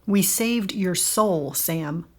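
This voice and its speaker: A woman's voice: on the low side, drawn-out words. A woman playing a direct, questioning member of a team in an audio drama, with a pointed way of talking. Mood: solemn. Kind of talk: scripted audio drama acting.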